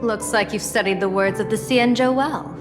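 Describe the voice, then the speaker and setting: A woman's voice: mid-range voice, normal speed, lightly acted. A woman playing a playful, self-assured general and fortune-teller in a video game, speaking in a ceremonious, flowery manner. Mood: playful, flattering. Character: grandiose, playful female general and fortune-telling strategist. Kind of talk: scripted game voice acting. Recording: long reverb, background music.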